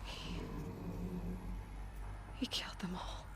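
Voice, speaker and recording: An actress playing an earnest, uneasy but determined explorer in a video game, speaking directly. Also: female voice, slow pace, whispered, lightly acted. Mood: shaken. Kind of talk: dramatic video game acting.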